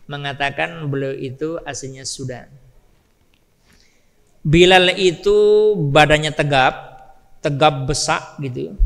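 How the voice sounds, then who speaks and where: A man's voice: on the high side, fairly bright tone. A man preaching in a storytelling style, emphatic, repeating phrases and putting questions to his listeners. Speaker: Islamic preacher. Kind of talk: storytelling sermon to a live congregation. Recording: long hall reverb.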